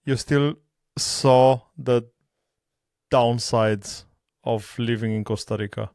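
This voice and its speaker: A man's voice: medium pitch, fairly even intonation, takes it slowly, gravelly, darkish tone, dry recording. A man hosting a podcast, asking casual, jokey questions and often stumbling over the start of his sentences. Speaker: podcast host. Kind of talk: casual interview.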